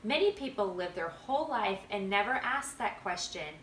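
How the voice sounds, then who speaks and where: A kindly old storybook grandfather, read out by a woman, explaining patiently and with emphasis. The tone is bright, the room echoes, and faint noise sits behind. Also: mid-range voice, expressive, normal speed, recorded from a distance, lightly acted. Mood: encouraging, wise. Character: kindly, wise grandfather teaching his grandson. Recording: roomy home recording with background noise.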